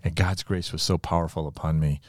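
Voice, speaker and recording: Deep-voiced older man, chatting about faith. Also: fairly even intonation, a little fast, no room sound, clean studio sound. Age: 60s or older. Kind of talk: casual religious conversation with personal testimony.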